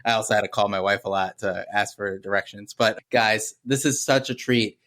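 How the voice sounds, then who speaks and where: A man's voice: lowish voice, moderate pace, fairly bright tone. A man co-hosting a podcast in a friendly, enthusiastic and effusive way. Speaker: podcast host.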